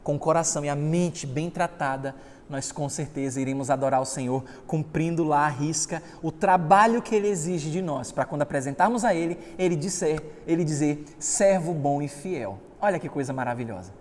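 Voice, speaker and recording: High-voiced pastor preaching. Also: male voice, brisk, a little hiss or hum. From Salvador, Bahia, Brazil. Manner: emphatic preaching, swelling loud on scripture readings. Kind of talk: sermon. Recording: long hall reverb.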